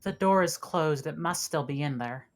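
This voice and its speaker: A woman's voice: sits low, normal speed. A woman playing a stern, wary senior officer on a spaceship, blunt and challenging, in a sci-fi table read.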